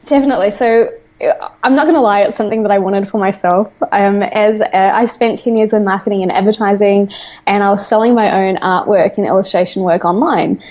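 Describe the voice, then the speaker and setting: A woman's voice: medium pitch, fairly even intonation, brisk, darkish tone. A woman from New Zealand with a New Zealand accent tinged with Australian, chatting casually as an interview guest and laughing often. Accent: New Zealand with some Australian. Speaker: guest, app founder. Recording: dull lo-fi remote call audio.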